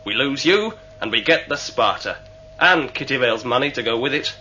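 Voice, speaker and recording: A man's voice: mid-range voice, animated, normal speed, sharp tone. An actor playing a cocky, sneering male spacer, a scheming mutineer who needles his captors in a sci-fi radio drama. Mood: scheming, boastful. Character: sly, taunting male mutinous spacer held captive. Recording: muffled, hissy old radio recording with hum.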